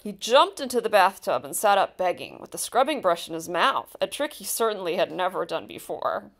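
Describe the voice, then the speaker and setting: A woman reading a children's picture book aloud, with expressive, deliberate storytelling and stressed key words. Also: medium pitch. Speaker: storybook reader.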